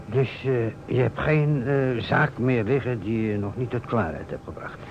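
A man's voice: medium pitch, a little slow, natural voice. A man acting an eager, inquisitive policeman in an old radio play, prompting with hesitant interjections. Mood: eager, puzzled. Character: eager, curious policeman colleague, hanging on every word. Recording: muffled old radio recording, faint noise.

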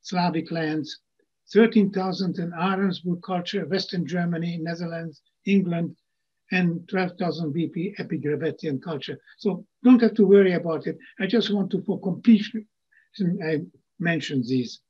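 A man's voice: fairly high pitch, normal speed, slightly rough. An older man giving a lecture from home over a video call, reading his slides and adding plain asides. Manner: reads slide text, adds plain asides. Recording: muffled lo-fi video call audio.

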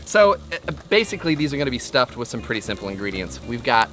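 A man's voice: mid-range voice, sing-song, fast, heavy background noise. A man in his thirties hosting a casual cooking show, bantering in a joking, self-deprecating way. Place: outdoor covered patio griddle kitchen. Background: music, food sizzling.

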